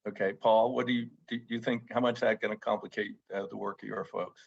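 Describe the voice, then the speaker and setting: Low voiced guy grilling witnesses at a hearing, informal and halting, monotone, muffled sound. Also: creaky, husky. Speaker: committee member questioning witnesses. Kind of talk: questioning at a formal hearing. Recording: dull, lo-fi meeting audio.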